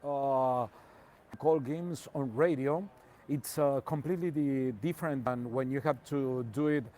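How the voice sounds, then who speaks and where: A man from Argentina whose first language is Spanish, a soccer commentator speaking careful, halting English with many fillers and a Spanish accent as a guest on a remote call. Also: medium pitch, dark tone. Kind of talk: casual interview by remote call.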